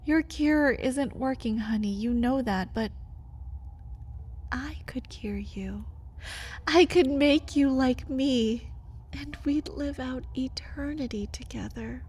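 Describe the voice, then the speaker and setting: Caged vampire lover , played by a woman: unhurried, husky-breathy, intimate ASMR delivery, pleading with a bitter edge. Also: sits high, a little mellow, full character voice. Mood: wistful, coaxing. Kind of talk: ASMR roleplay.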